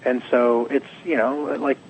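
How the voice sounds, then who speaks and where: A young adult man, a comics writer being interviewed, slightly hoarse, talking in a rambling, animated way and acting out quotes. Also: mid-range voice, a little slow, slight background noise, poor audio. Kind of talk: casual interview.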